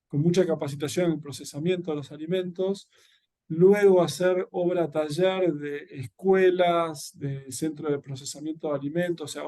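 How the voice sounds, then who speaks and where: A man's voice: on the high side, dark tone. An Argentine man speaking earnestly and reflectively as an interview guest, explaining his work. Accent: Argentine Spanish. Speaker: interview guest, social entrepreneur and educator.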